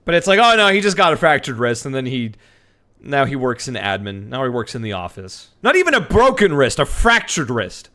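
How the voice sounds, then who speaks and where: A man's voice: mid-range voice, sing-song, fairly quick, bright, forceful tone. A man commentating casually on a game livestream, joking with a sarcastic, theatrical manner. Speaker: streamer and voice actor.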